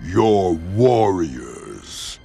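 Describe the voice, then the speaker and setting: An actor playing a huge, cruel ogre warlord who gloats and taunts in a gravelly voice for an animated game cinematic. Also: male voice, exaggerated voice. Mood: taunting menace. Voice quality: deep, gravelly. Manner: slow, taunting. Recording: loud cinematic music behind.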